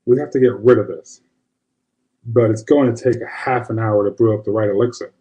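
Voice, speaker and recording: A man's voice: fairly low pitch, steady tone, brisk, mellow, dull audio, natural voice. A man voicing a no-nonsense older witch grandmother, folksy and commanding, in an audio horror story. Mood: urgent, commanding.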